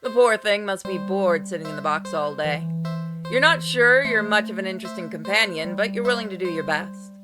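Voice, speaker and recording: A woman reading a story game aloud in an expressive narration, acting out exclamations and asides playfully. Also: mid-range voice, a little fast. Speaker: gamer reading a visual novel aloud on a playthrough. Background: music with plucked guitar.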